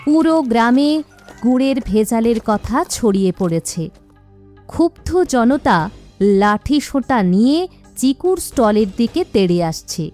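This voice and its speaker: A woman reads scripted narration for a children's cartoon story. Her voice is fairly high, in a storyteller's style. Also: animated, unhurried, a little mellow, faint music behind.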